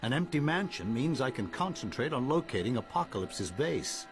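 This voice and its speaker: A courteous, scholarly character from a video game. He is articulate and amiable, with a mid-pitched, very varied delivery over music. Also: big pitch swings, moderate pace. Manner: polite, articulate.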